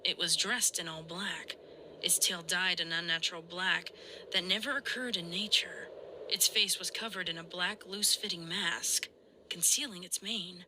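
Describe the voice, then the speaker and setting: A woman's voice: fairly low pitch, fairly even intonation, moderate pace, sharp tone, poor audio. A woman reading a horror story aloud as dramatic narration. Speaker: audiobook-style reader of a horror fan story. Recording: audible background noise and ambience.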